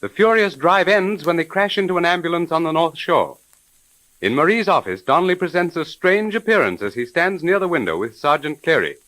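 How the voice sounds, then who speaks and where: Lively, dramatic radio serial announcer. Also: male voice, on the high side, fairly quick. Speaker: announcer narrating the story so far. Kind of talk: 1930s radio serial narration.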